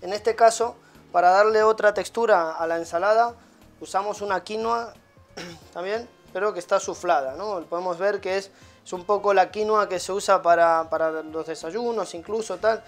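A man in his thirties, a chef giving a casual cooking demonstration in a halting way with frequent tag questions. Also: high-pitched, soft background music. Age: 30s. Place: small tiled restaurant kitchen.

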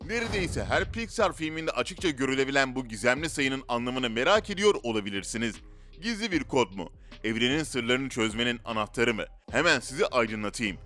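A man's voice: medium pitch, sharp tone. A man reads scripted narration in a dramatic, rhetorical storytelling way. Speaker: narrator of a list video. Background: background music.

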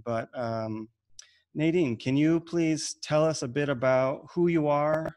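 Relaxed man hosting a video-call panel. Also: on the high side, slow pace. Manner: relaxed, repeats words and restarts often. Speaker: webinar host and moderator. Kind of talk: casual online panel moderation. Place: home room with shelves of gems.

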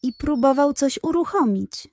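A woman narrating a scripted bedtime story for children in an expressive, suspenseful way. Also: sits high, normal speed, no echo.

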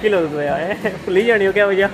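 A man's voice: high-pitched, racing, crowd noise, low-quality recording. Young Kashmiri man vlogging a street walk. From Azad Kashmir, Pakistan. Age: young adult. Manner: chatty, joking, asks many questions. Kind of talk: casual travel vlog chat. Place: streets, then a small roadside hotel kitchen. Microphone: handheld camera at arm's length. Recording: clear background music.

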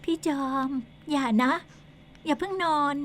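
A young female ghost speaks softly and coaxingly, voiced by a woman. Her voice sits a little high, gentle yet insistent, with a teasing edge. It is a radio ghost drama. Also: a little slow. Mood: pleading.

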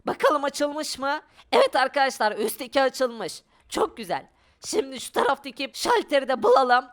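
Çok tiz, hareketli sesli kadın oyuncu. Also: female voice, sits high, moderate pace. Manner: animated, exclaiming, thinks aloud. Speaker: gaming video creator. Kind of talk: excited gameplay commentary for viewers.

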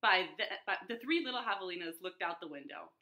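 Animated American woman reading a picture book. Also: lowish voice, quick pace. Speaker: children's librarian, storytime reader. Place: living room at home.

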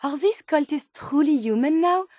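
A woman's voice: high voice, animated, normal speed, a little mellow, no room sound, clean studio sound. A woman voicing a polite, curious female robot scientist in a video game, speaking formally and precisely with naive wonder. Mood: earnest, analytical. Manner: formal, precise wording with wide-eyed wonder. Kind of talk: scripted game companion dialogue.

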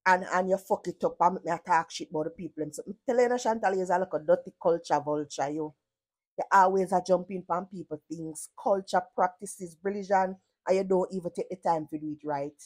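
A woman's voice: fairly low pitch, a little fast. A woman from Jamaica with a Jamaican accent gives sarcastic reaction commentary, blunt and full of profane patois.

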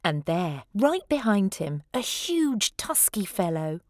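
A woman's voice: medium pitch, very animated, slow pace, no echo. A woman reading a rhyming children's story aloud, expressively and with dramatic emphasis. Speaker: storybook reader.

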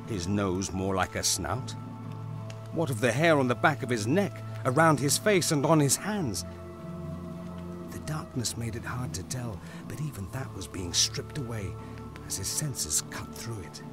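Male narrator for audio drama, low register, grave and deliberate, music running clearly underneath.

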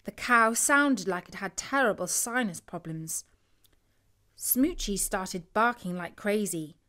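A woman's voice: medium pitch, takes it slowly, sharp tone. A woman with a British accent narrating a scripted bedtime story for children.

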